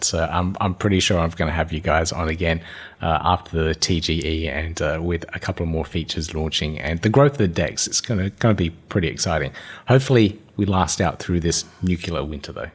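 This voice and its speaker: An Australian man hosts an interview in a very deep voice. He sounds upbeat and enthusiastic, with a relaxed, chatty pace as he thanks his guests. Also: fairly quick. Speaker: podcast host. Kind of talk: casual interview hosting.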